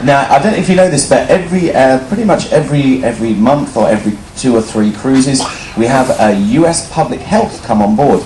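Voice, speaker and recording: Male cruise host, lively pitch swings, jovial showman delivery, live in an echoing venue. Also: fairly quick, hardly any pauses. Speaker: cruise ship host introducing crew on stage. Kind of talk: live stage presentation with interview. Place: large ship venue, on stage. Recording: echoey hall with loud noise and crowd.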